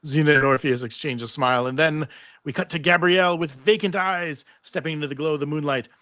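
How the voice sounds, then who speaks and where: A man's voice: fairly high pitch, a little fast, a bit bright, no room sound, clean studio sound. A man narrating stage directions in a live table read of a TV script.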